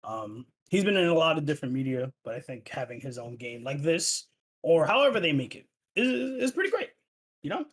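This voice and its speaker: Podcast host, man, animated pitch, slightly higher voice, loose profane chat. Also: brisk, a little hiss or hum. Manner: loose and profane, lots of fillers and restarts. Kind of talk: casual gaming podcast chat.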